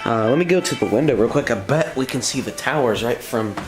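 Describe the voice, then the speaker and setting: A man's voice: medium pitch, animated, brisk, over music, poor audio. A man chatting casually as a hobbyist showing off a restored vintage radio, recorded indoors on a handheld camera. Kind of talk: casual demonstration chat. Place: room in a house.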